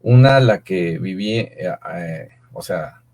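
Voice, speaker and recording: A man in his fifties with a Mexican accent, speaking in a slightly low voice. He answers at a loose, unhurried pace, stringing thoughts together with frequent fillers. He is an interview guest talking over a video call. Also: animated, darkish tone. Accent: Mexican Spanish. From Mexico City. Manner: rambling, filler-heavy storytelling. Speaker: guest, swim coach.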